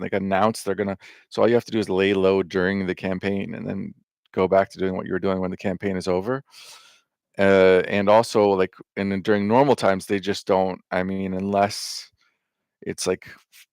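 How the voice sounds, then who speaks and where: A man's voice: low voice, creaky, a little mellow, dry recording, studio quality. A man talking in a loose, meandering monologue, full of fillers, restarts and casual swearing. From United States. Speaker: vlogger.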